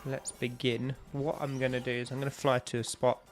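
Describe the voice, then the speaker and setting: A man's voice: medium pitch, flat delivery, brisk, soft background music. A young man with a stuffy, bunged-up nose, chatting casually and rambling with lots of ums while he streams a game. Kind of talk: casual live-stream chat while playing.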